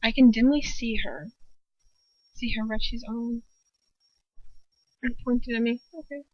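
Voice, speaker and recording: A woman's voice: on the high side, flat delivery, slow pace, no echo. A woman reading a story aloud as an amateur narrator, in a dramatic first-person style. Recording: dull lo-fi home recording.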